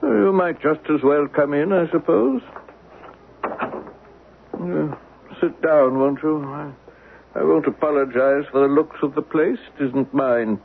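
A man's voice: on the high side, slow pace, vocal fry, pauses often, a little hiss or hum. An actor in a 1970s radio drama playing an irritable, guarded man who is curt, skeptical and sardonic. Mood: grudging. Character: irritable, guarded, dismissive man hosting an unwanted visitor. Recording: thin narrowband old radio recording.

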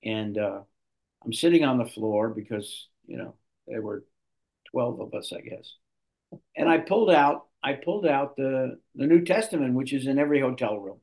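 An older man, a guest actor, telling long stories in an interview with lively restarts. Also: mid-range voice, moderate pace, low-quality recording. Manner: animated storyteller, restarts a lot. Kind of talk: storytelling in an interview.

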